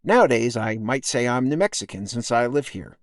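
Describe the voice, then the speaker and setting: Middle-aged man, American accent, mid-pitched voice, reflective essay narration, wry, measured, dry sound. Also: lively intonation, no room sound. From United States, upstate New York and Texas. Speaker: podcast writer and narrator. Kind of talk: scripted personal essay narration.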